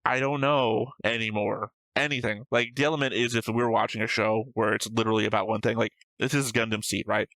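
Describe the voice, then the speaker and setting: A man's voice: lowish voice, fairly even intonation, brisk, hardly any pauses, a bit bright, no room sound, low-quality recording. Casually rambling man, dry close sound. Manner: rambling, swears freely, thinks aloud. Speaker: reaction show co-host. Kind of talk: casual analytical chat about an anime episode.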